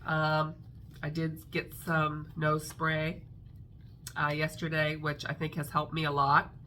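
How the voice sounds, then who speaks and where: A middle-aged woman talks casually to her viewers, rambling with plenty of fillers. Her voice sits a little low but sounds bright and forward, and it has a stuffy, nasal quality from congestion. A faint hum sits behind her. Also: completely flat delivery, takes it slowly. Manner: chatty, rambling with many fillers. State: congested, nasal from a sinus infection. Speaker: craft vlogger. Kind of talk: casual chat to camera.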